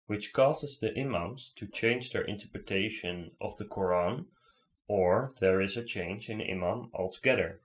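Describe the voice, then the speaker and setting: A man commenting on a video clip, rebutting it in an earnest, argumentative way. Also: lowish voice.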